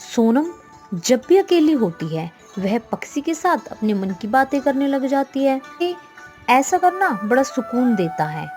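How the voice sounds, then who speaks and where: Animated, high-pitched woman storyteller. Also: a little slow, soft background music. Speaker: story narrator. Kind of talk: scripted storybook narration.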